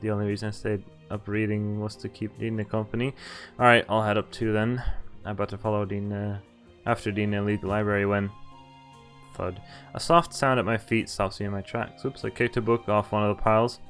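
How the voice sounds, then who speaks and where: A man's voice: fairly low pitch, level tone, a little fast, a bit bright. A man streaming a visual novel, reading its text aloud with casual commentary over game music. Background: game background music.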